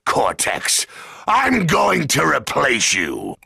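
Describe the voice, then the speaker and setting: An actor playing a scornful, domineering evil spirit mask in a cartoon video game, with a gravelly voice. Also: male voice, medium pitch, huge pitch swings, slow, bright, forceful tone, no room sound, full character voice. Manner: stern, domineering.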